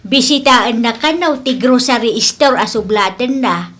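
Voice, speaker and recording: A woman's voice: on the high side, unhurried, a bit bright. A woman with an Ilocano accent playing a homely, indulgent older mother in a radio comedy drama.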